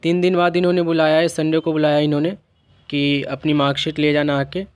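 A man's voice: a little high, monotone, a little fast, dry recording. A man explaining things to viewers in a vlog, repeating and rephrasing his points as he goes. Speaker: YouTuber helping open-school students.

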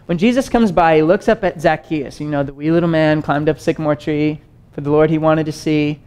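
A young man preaches in a loose, conversational way, moving around a stage as he talks. His voice sits a little higher than most men's, and his delivery stays lively and easygoing. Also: brisk, clean tone. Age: young adult. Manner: animated, conversational, gestures widely while pacing. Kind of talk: teaching sermon. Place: stage with wood-panel backdrop and screen.

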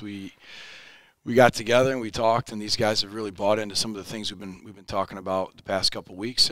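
A man in his forties, a high school basketball head coach, talking in a post-game interview in an upbeat, plain-spoken way. He often repeats words as he restarts a thought. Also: a bit bright, no echo. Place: indoor studio set in front of a backdrop. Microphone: headset mic.

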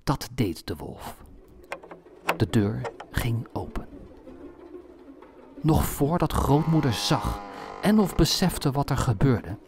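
Slow-paced male fairy-tale narrator. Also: mid-range voice, sing-song, over music. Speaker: storyteller.

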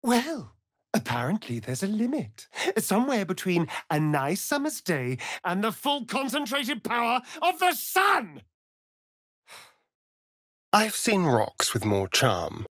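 A man's voice: high-pitched, wildly swooping pitch, takes it slowly, fairly bright tone, no room sound, clean studio sound, exaggerated voice. A man with a British accent playing a vain, sardonic immortal vampire rogue in a fantasy video game, theatrical and arch. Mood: scornful, dry. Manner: arch, drawling, flamboyant.

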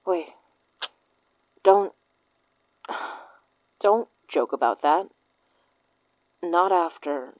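A woman's voice: lowish voice, expressive, drawn-out words, pauses often, dry recording. An actress playing a protective, exasperated woman friend with dry bite in a horror audio drama. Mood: exasperated, worried. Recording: muffled, narrow phone-like sound.

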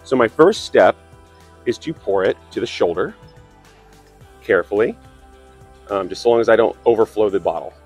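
A man in his thirties, a plant operator, talking through sampling steps in a relaxed way with lots of ums and restarts. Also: lowish voice, moderate pace, pauses often, slightly rough, soft background music. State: slightly raspy from a cold. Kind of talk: instructional demonstration. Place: outdoors by trees.

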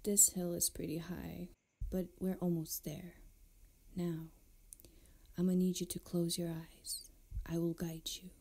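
A woman playing a flirtatious, tender pirate captain in an intimate ASMR roleplay, speaking softly and often close to a whisper. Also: lowish voice, little pitch movement. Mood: gentle, inviting. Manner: soft, breathy, often near whisper, unhurried. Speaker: audio roleplay performer.

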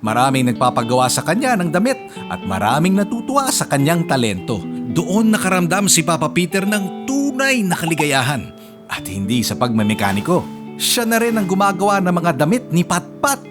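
Pompous, high-pitched cartoon cat general, Filipino accent. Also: male voice, sing-song, a little fast, over music. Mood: reflective. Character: proud, scornful alien cat general narrating his Earth observations. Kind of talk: animated cartoon narration.

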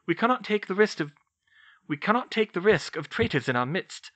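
Man playing a strict elderly headmistress type, crisp commands, lively high delivery. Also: fairly quick, no room sound, exaggerated voice. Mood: stern. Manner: commanding, clipped. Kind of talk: audiobook character voice.

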